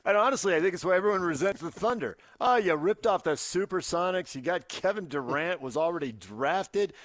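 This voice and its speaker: A man's voice: high-pitched, animated, a little fast, a bit bright, dry recording. A man co-hosting a sports radio show, chatting casually and offering firm opinions from a close studio mic. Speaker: sports talk radio co-host. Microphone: close studio broadcast mic.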